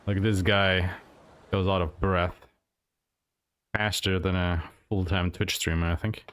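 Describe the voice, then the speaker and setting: Low-voiced man commentating on a game. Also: flat delivery, measured pace, fairly bright tone. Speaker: speedrunner and streamer. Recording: clear background noise.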